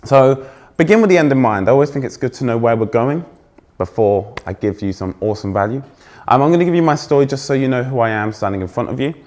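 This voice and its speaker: Young English male keynote speaker, fairly posh accent, loud, energetic, teases the crowd. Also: medium pitch, fast. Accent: trained-out Essex, now fairly posh English. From England. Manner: energetic, joking with the audience, self-deprecating. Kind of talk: conference keynote talk. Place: stage before a live audience.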